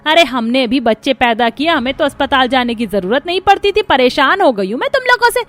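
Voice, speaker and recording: A woman's voice: high-pitched, sing-song, fairly quick, fairly bright tone. A woman voicing a bitter middle-aged mother-in-law in a cartoon story, scolding and complaining with sarcasm. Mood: angry, scolding. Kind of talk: Hindi cartoon story dubbing.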